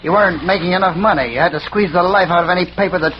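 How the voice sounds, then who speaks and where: A man acting an embittered newspaperman with a grudge, high-pitched for a man and hard-edged. He talks in confrontational, accusing radio-play style on a dull, lightly noisy vintage recording. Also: very fast, natural voice. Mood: resentful. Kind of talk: 1940s radio drama acting. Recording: noisy, muffled old radio broadcast recording.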